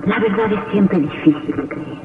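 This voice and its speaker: An actor playing Death as a solemn, cryptic reaper in a radio horror drama, pronouncing each phrase gravely. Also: male voice, high voice, normal speed, distant mic, close to an everyday voice. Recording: echoing hall, muffled, faintly noisy old radio.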